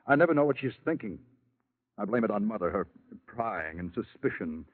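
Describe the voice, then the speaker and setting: An actor in a vintage radio drama playing a troubled, resentful husband, moody, hesitant and exasperated. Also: male voice, lowish voice, big pitch swings, normal speed. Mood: bitter, weary. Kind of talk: 1940s-50s radio drama acting. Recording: muffled vintage radio broadcast recording.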